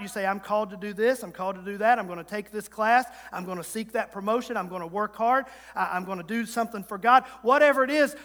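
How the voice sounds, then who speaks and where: A man's voice: high-pitched, sharp tone. A man preaching a sermon in his thirties, emphatic and repetitive, tossing short call-and-response asides to the congregation. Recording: long reverb of a large hall.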